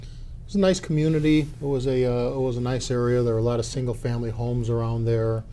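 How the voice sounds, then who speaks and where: A man with a mellow, mid-pitched voice answers a local interviewer, measured and a little halting. Faint room noise hums behind him. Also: fairly even intonation. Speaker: grocery business owner, guest.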